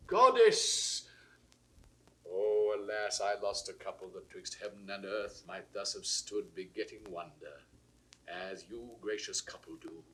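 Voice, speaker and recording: An actor playing an aging, remorseful king in classical Shakespearean verse, with a British accent and a measured, grandly declamatory delivery. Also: on the low side, poor audio. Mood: warm, wondering, then sorrowful.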